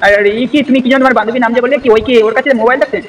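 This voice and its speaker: A man's voice: very high voice, fairly even intonation, rapid-fire. A young man making an improvised prank phone call, speaking hesitantly and repeating himself. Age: young adult. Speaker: prank caller. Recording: distant mic, faint background noise.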